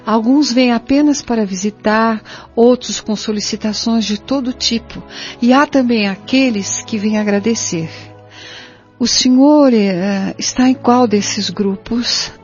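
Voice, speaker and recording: A woman's voice: fairly high pitch, a little slow, natural voice. An actress with a Brazilian accent playing an anxious, courteous mother in an audio drama, speaking formally and deferentially. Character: Idalina, anxious, courteous pleading mother spirit. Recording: dull lo-fi audio with music bed.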